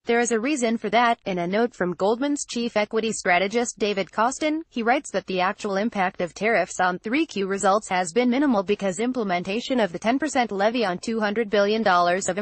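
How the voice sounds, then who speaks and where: A woman reads scripted financial news in a formal, measured way. Her voice sits at a middle pitch. The sound is very dry, with no room around it. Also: no echo. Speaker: narrator reading a financial news article.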